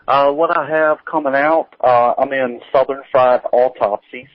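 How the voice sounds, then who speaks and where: Halting man on a phone-like line. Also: medium pitch, fairly even intonation, no room sound. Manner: halting, hesitant, informal. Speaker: interview guest, writer. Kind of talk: casual interview chat. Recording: tinny, narrow phone-like line.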